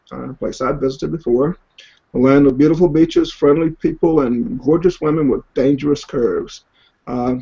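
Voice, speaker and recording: Male webinar host, informal and halting, ad-libbed, mid-pitched, on dull online audio. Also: gravelly, warm tone. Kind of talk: informal webinar hosting, introducing guests. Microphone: online webinar connection.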